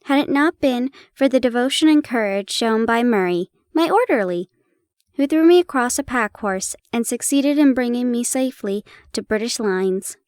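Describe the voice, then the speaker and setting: A young woman in a playful roleplay, chatting warmly to the listener and reading an old story aloud, giggling and stumbling over old place names. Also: a little high, expressive, normal speed, dry recording, studio quality. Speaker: audio roleplay performer.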